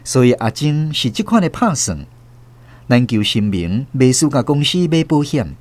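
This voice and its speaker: A male narrator with a Taiwanese Hokkien accent tells a radio drama story. His medium-pitched voice moves with lively, animated intonation. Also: moderate pace, no room sound. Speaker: host and narrator.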